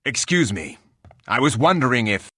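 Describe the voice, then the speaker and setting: A haughty warrior hero from a fantasy game. He speaks formally and bluntly in a hard, forceful voice with strong rises and falls in pitch. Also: animated, breathy edge, no echo, studio quality. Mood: formal, inquiring. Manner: stiff, formal, deliberate diction. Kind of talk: video game character acting.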